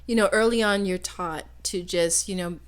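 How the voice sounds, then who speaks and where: A soft-spoken woman co-hosting a new talk show, chatting casually and warmly agreeing with her partner. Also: on the low side, normal speed. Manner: soft-spoken, agreeable, says she is shy. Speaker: podcast co-host. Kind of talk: casual chat.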